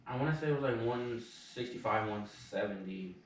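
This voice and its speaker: A man's voice: on the low side, brisk, a little hiss or hum. A man in casual podcast banter, talking in an informal, matter-of-fact, joking way. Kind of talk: casual banter about cars. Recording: echoey room, distant mic.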